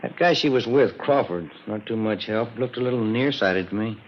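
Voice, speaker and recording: A man's voice: lowish voice, normal speed, close to an everyday voice. A man playing a plainspoken, easygoing police sergeant in a casual, laconic 1950s radio drama style. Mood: weary, casual. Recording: muffled, hissy old radio recording.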